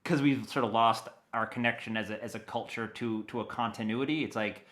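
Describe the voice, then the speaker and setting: A middle-aged man with an American accent and a bright, forward voice. He talks in a casual interview style, explaining as he goes and often circling back to rephrase his thought. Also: lowish voice, fairly even intonation, brisk. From Maine, USA. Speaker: interview guest, audio dramatist.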